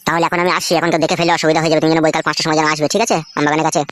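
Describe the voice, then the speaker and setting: Man voicing a fast-talking cartoon village womanizer. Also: a little high, flat delivery, fairly bright tone, no echo, clean studio sound, lightly acted. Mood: wooing. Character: smooth-talking, foul-mouthed village womanizer, a young man. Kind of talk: comedy cartoon dialogue.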